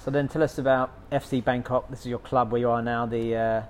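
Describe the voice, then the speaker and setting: A man's voice: mid-range voice, flat delivery, a little fast. Conversational male podcast host, faint hiss. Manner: encouraging, conversational questions. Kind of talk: podcast interview.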